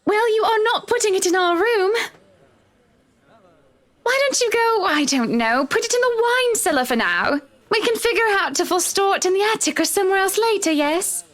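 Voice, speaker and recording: Very high-voiced dainty young wife. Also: female voice, lively intonation, moderate pace, fairly bright tone. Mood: firm, fussy. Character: chatty, dainty, well-mannered young wife. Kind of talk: visual novel acting.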